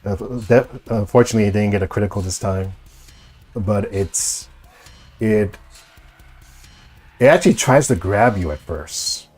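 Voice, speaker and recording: A man acting as game master, improvising narration of a tabletop horror game in halting, repetitive phrasing. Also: on the low side, darkish tone, faint music behind.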